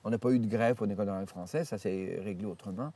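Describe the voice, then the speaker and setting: A man, a priest telling stories from his life in an interview, rambling with many hesitations and restarts and lively retelling of what others said. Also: lowish voice, rapid-fire, breathy edge, distant mic. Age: 70s. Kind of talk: storytelling interview. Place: outdoors in nature.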